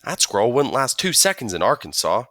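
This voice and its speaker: A high, bouncy young country boy from Arkansas, as a male audiobook reader puts him on, chattering away with a drawl. His pitch leaps all over as he jabbers eagerly. The reading is dry and close. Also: big pitch swings, a little fast, fairly bright tone, no room sound. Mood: eager, chatty. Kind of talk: audiobook character voice.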